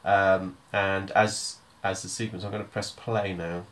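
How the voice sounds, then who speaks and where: A man giving a hands-on music software tutorial, explaining step by step in an informal, hesitant way. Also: deep voice, little pitch movement, unhurried, poor audio.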